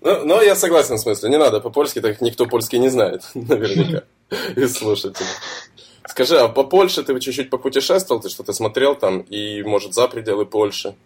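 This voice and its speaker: A man hosting a relaxed interview, steering with curious, meandering questions. His voice has a husky, slightly breathy edge. He speaks at an ordinary male pitch. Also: a little fast. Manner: curious, questioning, loose phrasing. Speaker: interview host. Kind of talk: casual interview chat.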